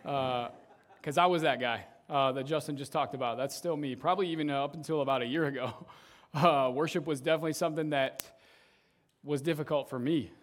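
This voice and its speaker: A young man with a somewhat high voice leads a church service as its youth pastor, preaching in a relaxed, conversational way. His voice is a little raspy from shouting earlier, and he keeps checking in with the congregation by tagging his points with "right?". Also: fairly quick. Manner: conversational, tags sentences with "right?". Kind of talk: casual sermon.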